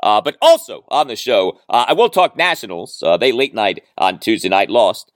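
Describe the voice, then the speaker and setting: A man's voice: fairly low pitch, very animated, moderate pace, no room sound, clean studio sound. A man hosting a solo sports podcast, talking in an emphatic, dramatic way with rhetorical repeats. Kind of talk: solo sports talk monologue.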